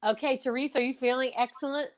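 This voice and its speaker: A woman's voice: high-pitched, fairly even intonation, fast talker. A woman hosting, chatting casually as she introduces a play reading. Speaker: host.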